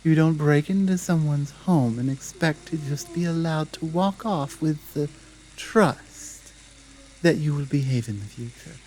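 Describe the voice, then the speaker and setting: A woman playing a stern, principled female werewolf pack leader in an ASMR audio drama, protective and dominant, speaking in a measured, deliberate way. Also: deep voice, mellow, a little hiss or hum, poor audio. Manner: measured, firm, deliberate, with pauses.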